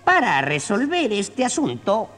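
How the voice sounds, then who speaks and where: A judge speaks slowly and weighs every word. Her pitch moves widely. A long reverb and faint music sit behind her on the cassette. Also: huge pitch swings, a bit bright, heavily acted. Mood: solemn. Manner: slow, measured. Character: deliberate judge.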